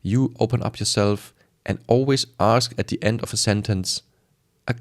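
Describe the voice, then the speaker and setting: A man's voice: on the low side, moderate pace, creaky. A man with a strong German accent giving informal sales advice to camera, emphatic and repetitive, often acting out short bits of dialogue. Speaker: sales coach presenting a video.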